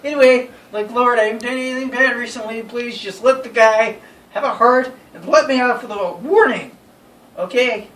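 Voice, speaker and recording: A young man casually telling friends an anecdote in an animated way. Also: very high-pitched, moderate pace. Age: young adult. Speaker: storyteller. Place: small bedroom, indoors. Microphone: phone camera a few feet away. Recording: roomy, distant phone mic with noise.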